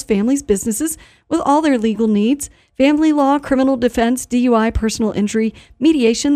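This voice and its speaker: A woman's voice: mid-range voice, mellow, no echo, clean studio sound. A woman hosting a local radio news talk show in a conversational, informal way.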